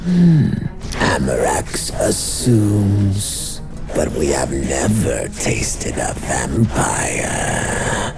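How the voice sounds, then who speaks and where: Slow, very deep, gravelly ghoul. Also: male voice, very animated, heavily acted. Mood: calculating. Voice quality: guttural, gravelly, breathy hissing whisper. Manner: slow, taunting, drawn-out delivery. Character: sly, mocking ghoul leader, ancient and menacing. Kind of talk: dark fantasy horror radio play. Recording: music under the voice.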